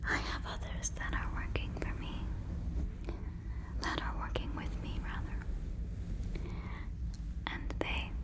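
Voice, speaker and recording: A woman's voice: slow pace, pauses often, close to an everyday voice. A woman playing an earnest, doting alien in an ASMR roleplay, speaking close to the mic in soothing, stilted, repetitive phrases. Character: earnest, doting female alien convinced listener is a cow. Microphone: close fluffy microphone.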